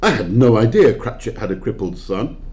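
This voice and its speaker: A man's voice: on the low side, very animated, normal speed, darkish tone. A man playing an anxious miser who earnestly questions a spirit in an audio play.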